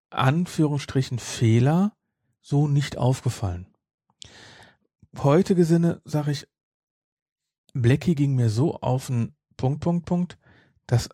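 A middle-aged man co-hosts a casual review podcast. His voice is dark and relaxed with a husky grain, recorded close and dry. He states opinions firmly and repeats himself for emphasis. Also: medium pitch, no echo. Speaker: podcast co-host.

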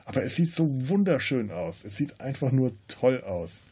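A man's voice: mid-range voice, big pitch swings, moderate pace, mellow, slightly rough. A man co-hosting a podcast, casually discussing film and often restarting his phrases. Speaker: podcast co-host.

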